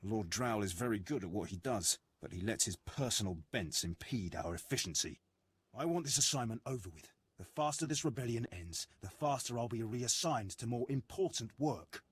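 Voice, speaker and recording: An actor playing an ambitious, resentful military sergeant in a video game, formal, clipped and self-important. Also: male voice, lowish voice, lively intonation, moderate pace, slightly rough. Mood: resentful, impatient. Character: ambitious, resentful, impatient male military sergeant of an evil empire.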